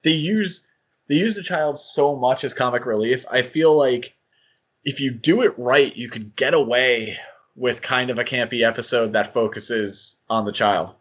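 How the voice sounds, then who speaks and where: A man's voice: mid-range voice, a little slow, fairly bright tone. A man co-hosting a podcast, thinking aloud in a casual fan discussion and often qualifying what he says. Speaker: podcast co-host.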